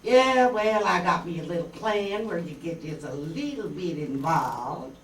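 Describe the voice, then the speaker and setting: A woman's voice: fairly low pitch, expressive, measured pace. A woman acting a coy, scheming Southern blonde femme fatale, coaxing and sugary, in an old radio noir play. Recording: echoey room, distant mic, background noise.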